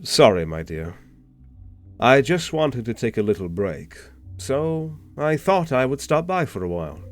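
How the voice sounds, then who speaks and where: An actor playing a distinguished, learned gentleman who speaks in a formal, measured, polite manner with a gravelly creak. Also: medium pitch, sing-song, faint music behind. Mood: bemused, polite.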